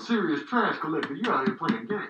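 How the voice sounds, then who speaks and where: A man voicing cocky, indignant animals in a comedic voice-over, with husky, streetwise, exasperated talk. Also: fairly high pitch, expressive, brisk, highs cut off, very noisy, close to an everyday voice. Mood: taunting. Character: cocky, indignant trash-talking male animals.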